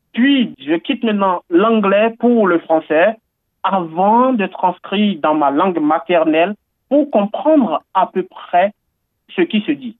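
High-voiced man on a tinny line. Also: no echo. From Benin. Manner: earnest, enthusiastic, with frequent fillers and repetitions. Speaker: interviewee. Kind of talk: radio interview. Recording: tinny phone-like line, dry.

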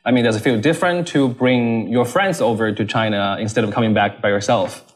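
A man's voice: medium pitch, brisk. A man hosting an interview, asking casual questions in a friendly way and laughing often.

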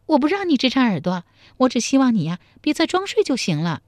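A woman voicing a bossy, bold young country woman in a storybook, sharp-tongued and teasing. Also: sits high, very animated, brisk, no room sound, clean studio sound, pitched above the performer's own voice. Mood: spirited chatting. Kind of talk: dramatized storybook dialogue.

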